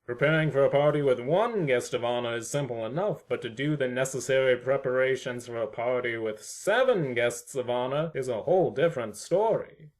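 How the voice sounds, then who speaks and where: A man's voice: mid-range voice, brisk, few pauses, close to an everyday voice. A man playing a mysterious, knowing tenant with a formal, theatrical, wordy manner in an audio drama. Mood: grandiose. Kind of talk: fan audio drama acting.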